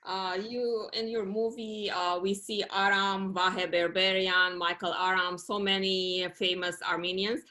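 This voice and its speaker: A woman's voice: mid-range voice, level tone, measured pace, hardly any pauses, bright, forceful tone. A woman moderating an online event, asking a question hesitantly and stumbling over her wording. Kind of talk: audience question. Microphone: video call.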